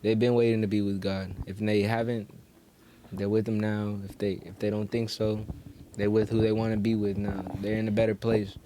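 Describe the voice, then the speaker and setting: A man's voice: on the low side, flat delivery, moderate pace, warm tone, a little hiss or hum. A man in casual podcast conversation, talking in slangy, profane phrases that he repeats for emphasis. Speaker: podcast co-host. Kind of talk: casual chat.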